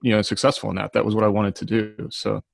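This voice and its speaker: A man with a fairly low voice speaks in a very dry recording. He chats casually, reflecting as he goes and feeling for his words. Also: level tone, fast, creaky, dry recording. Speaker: writer on a panel. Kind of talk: casual panel chat.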